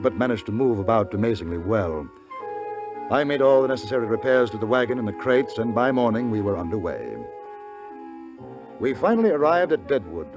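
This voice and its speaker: An actor playing an earnest, upright English gentleman who narrates and leads a 1950s radio western. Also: fairly low pitch. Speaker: narrator and lead. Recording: vintage radio recording with music.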